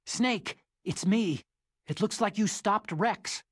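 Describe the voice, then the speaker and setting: An actor playing an earnest, excitable young American scientist ally in a video game. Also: male voice, sits high, takes it slowly, breathy edge, no echo, exaggerated voice. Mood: excited. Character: nerdy earnest young male scientist ally, newly resolute. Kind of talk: dramatic video game radio-call acting.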